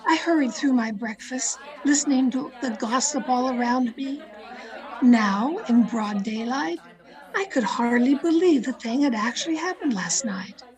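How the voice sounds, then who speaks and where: A woman's voice: on the high side, animated, a little slow, a little mellow, rough recording. A woman playing a thrill-seeking young woman who narrates her murder tale with dramatic, breathless intensity in old radio suspense style. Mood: tense. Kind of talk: 1940s radio suspense acting, scripted first-person narration. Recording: video-call radio play with music underneath. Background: dramatic music.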